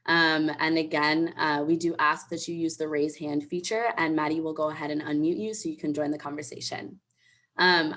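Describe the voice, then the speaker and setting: Casting: female co-host for a virtual event, low-pitched voice, informal delivery on a video call. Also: fairly bright tone. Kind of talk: informal hosting of an online event.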